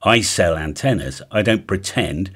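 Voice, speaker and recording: A middle-aged man with an English accent and a husky voice, explaining technical matters informally and emphatically, often checking in with the listener. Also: low voice, expressive, unhurried, a bit bright. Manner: conversational, emphatic, checks in with "right?". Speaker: presenter. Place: home music studio room, indoors.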